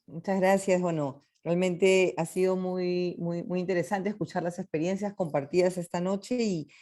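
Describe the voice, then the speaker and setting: A woman's voice: on the low side, steady tone, normal speed, dark tone. A woman from Peru giving closing remarks at a panel, thoughtful, with many fillers and tag questions.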